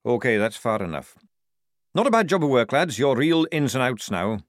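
A man voicing a gruff, cunning, fat army sergeant with a growling delivery in an audiobook. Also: mid-range voice, sing-song, normal speed, no echo, studio quality, lightly acted. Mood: brisk, commanding.

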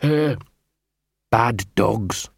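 A man's voice: a little high, expressive, a little slow, a bit bright, dry recording, studio quality, voice pushed up. A man voicing a small, fearful talking dog, moaning and muttering miserably in an audiobook. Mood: fearful hushed. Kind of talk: audiobook character voice.

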